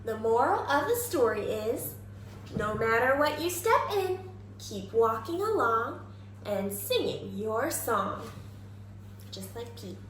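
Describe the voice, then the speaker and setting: The recording rings with strong room echo over faint noise. A woman with a high voice reads and teaches for young children as a kindergarten teacher. Her delivery is exaggerated and very lively, inviting listeners to answer. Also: slow pace. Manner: expressive, exaggerated, prompts listeners with questions. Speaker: kindergarten teacher reading aloud. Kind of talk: animated children's read-aloud and simple lesson.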